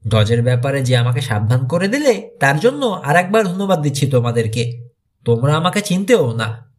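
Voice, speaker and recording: Man reading as an earnest, animated teenage cave boy. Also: medium pitch, normal speed, voice pushed down, close to an everyday voice. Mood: grateful.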